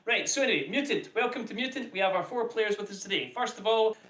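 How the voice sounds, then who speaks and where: A man's voice: high-pitched, lively intonation, fast talker, pushed and bright. A man hosting a streamed tabletop game as game master, chatty and jokey, rambling between players. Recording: echoey room.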